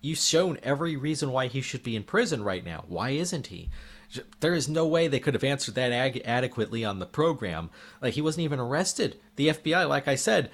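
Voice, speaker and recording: A man's voice: medium pitch, fairly bright tone. A man delivering an indignant opinion monologue as a political commentator, firing off rhetorical questions while losing his voice.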